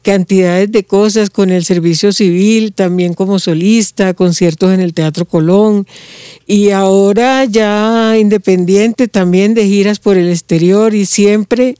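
Colombian coastal woman, mellow clear voice. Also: medium pitch, no room sound, studio quality. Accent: Colombian Caribbean coast. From Barranquilla, Colombia. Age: 60s. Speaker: musician guest. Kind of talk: interview conversation.

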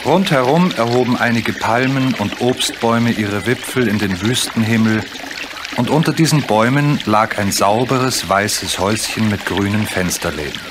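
A man narrates a children's story for a radio play in a lowish voice. It is scripted storytelling, recorded with clearly audible noise. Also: normal speed.

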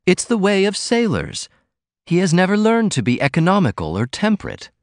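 A man's voice: sits high, huge pitch swings, normal speed, dry recording, studio quality, voice pushed up, exaggerated voice. A man doing a stern proper mother.

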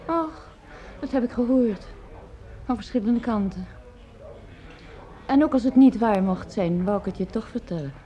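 A woman's voice: fairly high pitch, lively intonation, unhurried, long pauses. A middle-aged woman acting in a radio play as a fond mother of grown sons, motherly and a little hesitant. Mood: gossipy. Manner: motherly, hesitant, gently gossipy.

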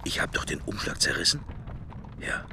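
A man's voice: normal speed, background noise, lightly acted. An actor playing a middle-aged man's drifting inner thoughts in a radio play, in murmured fragments. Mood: absorbed, musing. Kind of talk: radio play interior monologue.

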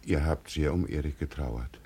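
An actor playing a solemn, humble ageing labourer with grand delusions in a German radio play, speaking weightily and mysteriously in halting phrases. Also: male voice, rumbling low, warm tone, slightly rough. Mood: guarded, respectful. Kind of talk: 1950s German radio drama acting.

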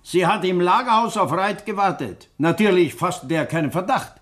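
An actor playing a gloating, sneering villain in a 1960s German radio crime drama, mocking and goading. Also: male voice, sits high, normal speed, fairly bright tone. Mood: sly, incriminating. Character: gloating, sneering male villain, bitterly amused accomplice.

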